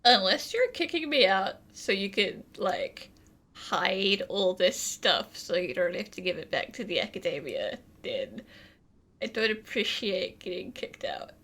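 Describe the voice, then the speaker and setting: Drawling, gravelly-edged sardonic young woman. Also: mid-range voice, very animated, measured pace, a bit bright, full character voice. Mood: indignant. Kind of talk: video game voice acting.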